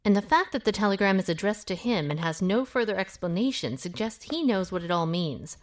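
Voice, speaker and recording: An actress playing a shrewd, take-charge amateur detective with a brisk, wry way of reasoning aloud in an audio drama. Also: female voice, medium pitch, animated, no echo, studio quality, natural voice. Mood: wry, assured. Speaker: lead actor in an audio drama.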